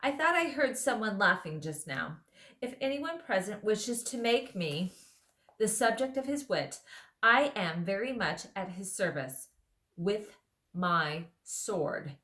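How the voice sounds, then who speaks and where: A woman voicing a small, proud male mouse knight who speaks in a shrill, formal, gallant manner. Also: lively intonation, slow, fairly bright tone. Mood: proud courtly.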